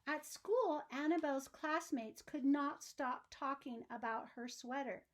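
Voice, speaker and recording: A woman narrates a children's story in a high voice. Her pace is slow and deliberate. She reads expressively, with emphasis suited to young listeners.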